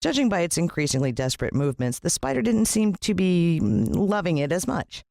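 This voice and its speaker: American woman, children's audiobook narrator, very lively intonation, dry close sound, dramatic storytelling. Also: on the low side, brisk, creaky, no room sound.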